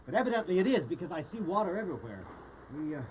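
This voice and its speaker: An actor playing an eager, dutiful grown son in a children's audio Bible drama, earnest and a little hesitant. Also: male voice, sits high, very animated, racing, highs cut off, a crowd in the background. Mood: awed.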